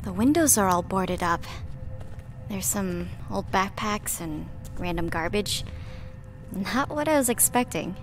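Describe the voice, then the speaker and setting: A woman's voice: medium pitch, a little slow, soft background music. A woman playing a chatty, eager young livestreamer on a vampire hunt in an audio drama, with a casual delivery. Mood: uneasy. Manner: casual, animated.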